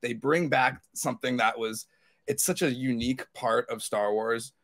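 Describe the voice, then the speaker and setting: A man's voice: medium pitch, moderate pace, fairly bright tone. A man co-hosting a podcast, talking casually and enthusiastically as a fan, in a rambling way. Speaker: podcast co-host. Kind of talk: casual fan discussion of TV episodes.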